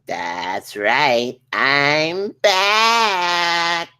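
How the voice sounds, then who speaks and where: A woman's voice: low voice, extremely slow, sharp tone, clear, resonant tone, exaggerated voice. A woman playing a spiteful, gloating male villain who becomes a bug mutant, taunting theatrically in a comedy table read. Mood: menacing.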